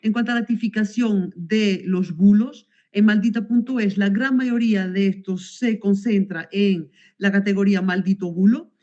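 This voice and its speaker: A woman's voice: mid-range voice, darkish tone. Hesitant woman on a dull call. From Venezuela. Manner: hesitant, many fillers and self-corrections. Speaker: researcher presenting results. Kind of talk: academic conference presentation.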